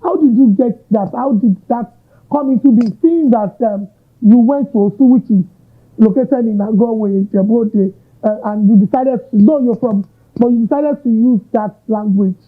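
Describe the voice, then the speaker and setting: Nigerian interviewer, husky and tinny. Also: male voice, very high-pitched, moderate pace. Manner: long, winding questions with Yoruba asides. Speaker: interviewer, host. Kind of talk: interview questions. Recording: tinny narrow-band audio.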